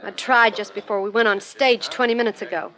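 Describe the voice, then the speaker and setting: A woman's voice: on the high side, steady tone, brisk, fairly bright tone, close to an everyday voice, rough recording. An actress playing a hurt, lovesick young female magician's assistant in an earnest 1940s radio drama style. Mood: sad, resigned. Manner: earnest, aggrieved.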